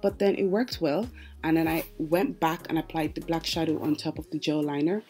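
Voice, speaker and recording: A woman with a distinctly low, mellow voice narrates a makeup tutorial. She is chatty and explanatory, talking casually to her viewers as she walks through the steps. Light music plays behind her. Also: steady tone, normal speed. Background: background music.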